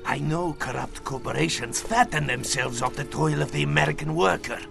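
An actor playing a zealous, stern Soviet agent in a video game, declaiming menacingly with a Russian accent. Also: male voice, a little high, normal speed. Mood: defiant, gloating. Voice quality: gravelly. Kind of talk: video game cutscene acting. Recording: music behind the voice.

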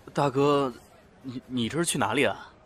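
A man's voice: mid-range voice, husky. An actor playing a polite, jumpy young man in a horror audio drama.

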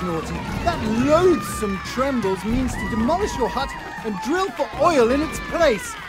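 A man's voice: extremely high pitch, heavily acted. A man with a British accent playing a fussy, kindly children's storybook narrator in a game, theatrical and quaintly polite. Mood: indignant. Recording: music under the voice.

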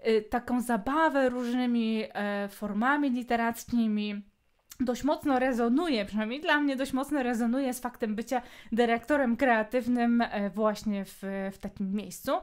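A woman's voice: on the high side, normal speed. A Polish woman hosting a book podcast, talking casually with many hesitation fillers. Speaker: podcast host.

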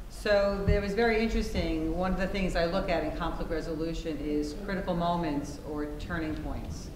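Distant woman on a noisy echoey stage. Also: fairly low pitch. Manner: measured, explanatory. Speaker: panelist, conflict resolution practitioner. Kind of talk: onstage discussion. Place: theater stage, seated.

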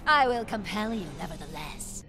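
A woman's voice: mid-range voice, animated, moderate pace, natural voice. A woman playing an imperious, menacing female warrior in a video game, haughty and commanding. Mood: scornful. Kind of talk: video game fighting dialogue. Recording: dramatic music behind the voice.

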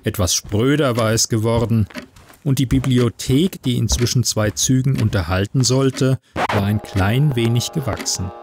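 A man reading a literary short story in measured, scripted narration. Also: medium pitch, noisy background. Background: music under the voice.

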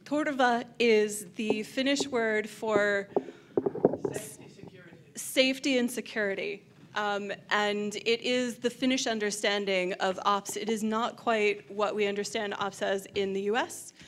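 A woman's voice: mid-range voice, fairly even intonation, unhurried. A woman presenting on a handheld mic in a large hotel function room, upbeat and eager. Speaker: convention presenter. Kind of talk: panel presentation. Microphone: handheld microphone.

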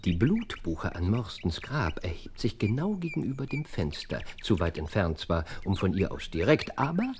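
An actor playing a shrewd, ironic gentleman detective with a wry, mock-polite, musing manner in a German audio drama. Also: on the low side, very animated, normal speed, hardly any pauses, noisy background. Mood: absorbed.

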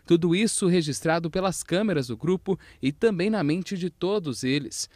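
A man's voice: fairly high pitch, animated, moderate pace, darkish tone, no echo. A young man with a Brazilian accent, a TV reporter narrating a scripted news feature.

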